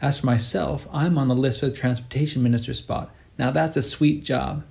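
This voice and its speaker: Guy doing a nosy slangy cabbie, singsongy, kinda gravelly. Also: mid-range voice, fairly even intonation, brisk, a little mellow, lightly acted. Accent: northern provinces sing-song. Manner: sing-song, gabby, slangy. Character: chatty stocky male cab driver, nosy.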